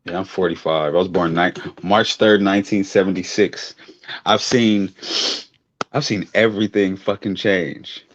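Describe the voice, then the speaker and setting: A man's voice: low-pitched, steady tone, a little slow, no room sound. A man in his forties chatting casually on an online show, laid-back and rambling, swearing now and then. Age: 40s. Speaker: co-host. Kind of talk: casual chat. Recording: dull lo-fi sound.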